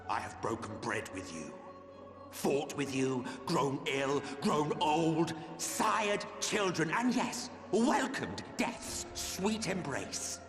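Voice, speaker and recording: A man acting an ancient, immortal video game villain, bitter, contemptuous and grieving, with grandiose theatrical delivery. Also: a little high. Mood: bitter, wistful. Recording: echoey hall reverb, orchestral music behind.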